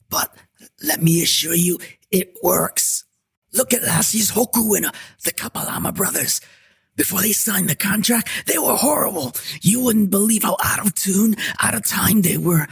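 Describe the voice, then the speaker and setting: Man acting a nervous, husky salesman type, pleading. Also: high-pitched, dry recording, full character voice. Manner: stammering, reassuring. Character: nervous pleading man talking a friend into a contract. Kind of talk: scripted audio drama dialogue.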